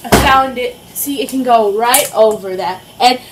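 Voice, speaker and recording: A young woman with an excitable, childlike, playful way of talking, chatting casually as she cooks for a vlog. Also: a little high, normal speed, very noisy. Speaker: amateur cooking vlogger. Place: home kitchen, indoors.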